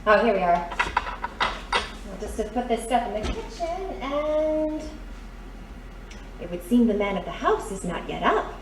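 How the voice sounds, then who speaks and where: A woman acting a chirpy, teasing sweetheart of a radio play. Her high voice swoops playfully as she coaxes, in a roomy recording. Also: very animated, measured pace, pauses often. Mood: cheerful, coaxing. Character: perky, doting, teasing live-in girlfriend. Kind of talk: audio drama acting. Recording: roomy, distant, faint noise.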